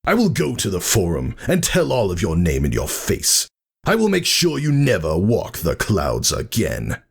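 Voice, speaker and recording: Man acting a furious gravelly pegasus warrior, raised pitch. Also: wildly swooping pitch, moderate pace, exaggerated voice. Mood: bitter, threatening. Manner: raging, scornful, declamatory. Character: furious proud pegasus warrior brother, haughty and menacing. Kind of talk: dramatic fan fiction reading.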